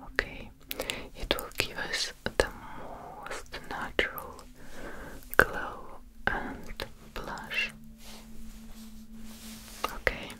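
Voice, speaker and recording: Slow whispering young woman. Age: young adult. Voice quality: whispered, breathy. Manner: soft, unhurried, gentle instructions. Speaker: ASMR makeup artist roleplay host. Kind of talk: ASMR personal attention roleplay. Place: small indoor room, close up. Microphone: close sensitive microphone.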